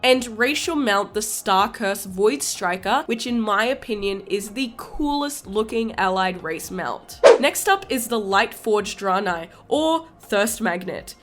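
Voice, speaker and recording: A woman's voice: medium pitch, animated, a little slow, hardly any pauses, music in the background. A woman with a congested, stuffy voice, narrating a step-by-step gaming guide and carefully explaining terms. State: congested from a cold. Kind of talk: scripted game guide narration.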